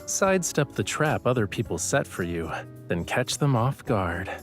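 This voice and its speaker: Romantic game lead: playful young man, male actor, very animated intonation, affectionate and a little smug, music underneath. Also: mid-range voice, moderate pace, natural voice. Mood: calm, teasing. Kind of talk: romantic game audio drama acting.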